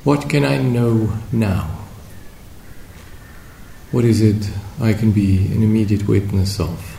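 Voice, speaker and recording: A man leading a guided meditation as a teacher, speaking reflectively in short phrases with long pauses between them. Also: lowish voice, vocal fry, mellow. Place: indoor room at a meditation retreat. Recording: roomy echo with faint background noise.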